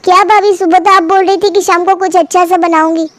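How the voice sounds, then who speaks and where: Woman doing sulky picky young nanad, super high voice, sarcastic cartoon whining, no echo. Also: fairly even intonation, a little fast. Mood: indignant. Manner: pouty, exasperated. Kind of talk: cartoon family story acting.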